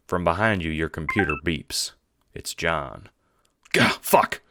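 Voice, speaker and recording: A man's voice: low-pitched, measured pace, sharp tone, a little hiss or hum. A man narrating a scripted second-person audiobook drama.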